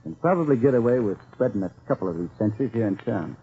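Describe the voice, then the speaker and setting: An actor playing a shrewd, bossy counterfeit dealer with gruff, slangy tough talk in a 1940s radio crime drama. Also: male voice, lowish voice, animated, rapid-fire, lightly acted. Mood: warning. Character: shrewd male counterfeit boss, bossy and menacing underworld dealer. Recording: muffled, phone-thin vintage 1940s radio recording.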